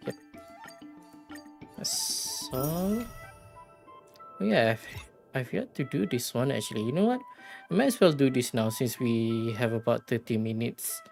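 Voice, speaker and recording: A game streamer with a gravelly, mid-pitched voice talks at a slow pace over background music. The delivery is casual and halting, rambling along while thinking aloud. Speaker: game livestreamer. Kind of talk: casual gaming stream chat.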